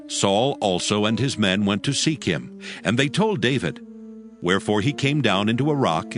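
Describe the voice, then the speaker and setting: A man giving scripted narration of dramatized scripture in a solemn, measured storytelling manner. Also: fairly low pitch. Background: faint background music.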